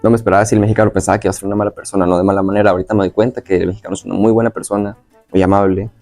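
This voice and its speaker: A man's voice: fairly low pitch, little pitch movement, racing, darkish tone, soft background music. A young man from Venezuela answering as an interview guest, casually recalling his experiences in a slightly hesitant, circling way. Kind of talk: casual personal recollection.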